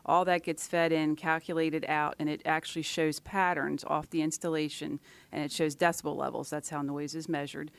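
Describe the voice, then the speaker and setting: Woman, low pitch, flat intonation, matter-of-fact, explanatory, clipped phrasing, scientist being interviewed. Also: moderate pace, gravelly, clean tone, no room sound.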